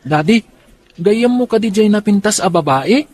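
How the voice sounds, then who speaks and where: Man playing an eager, high-pitched Filipino boy. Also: expressive, moderate pace, darkish tone. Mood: cheerful, curious. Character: eager, scheming young son in a family. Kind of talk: radio drama acting.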